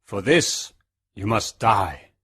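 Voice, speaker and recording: A reader voicing an angry male beast in a storybook, speaking slowly and threateningly. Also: deep voice, lively intonation, fairly bright tone, slightly rough, pitched below the performer's own voice, heavily acted. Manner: slow, heavy, threatening speech. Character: huge angry male beast, stern and menacing. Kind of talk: storybook reading.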